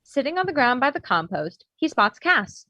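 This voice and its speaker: A woman's voice: sits high, sing-song, moderate pace, bright, forceful tone, dry recording, lo-fi sound. A woman narrator reading screenplay stage directions in scripted narration.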